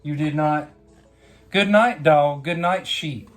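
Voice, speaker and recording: A woman reading a picture book aloud to a young child, pausing to ask simple questions. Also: deep voice, soft background music. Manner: simple questions and prompts addressed to a small child. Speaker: bedtime story reader.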